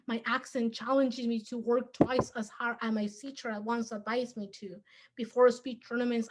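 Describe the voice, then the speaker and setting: Teen girl student, heavy Spanish accent, earnest memorized speech delivery, a little higher voice. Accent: Spanish-accented English. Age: teens. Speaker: student speaker.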